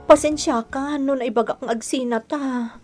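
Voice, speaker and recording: High-voiced, pleading wife, played by a woman. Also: fairly even intonation, moderate pace, darkish tone, faint music behind, close to an everyday voice. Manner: emotional, pleading. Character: distraught, pleading wife with a sharp temper. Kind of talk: radio drama acting.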